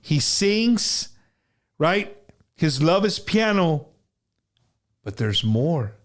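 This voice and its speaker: A man runs a casual livestream interview in a fairly high voice. He talks loosely and slangily, tossing in bro and dude and laughing easily as he chats. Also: sing-song, drawn-out words. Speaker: host of an online music interview show.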